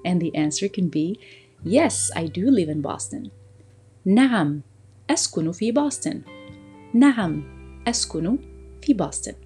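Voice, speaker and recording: A woman teaching a language lesson, explaining and slowly repeating phrases for learners to copy. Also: fairly low pitch, very animated, warm tone, faint music behind. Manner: slow, deliberate repetition of phrases for learners; switches between explaining and modelling phrases.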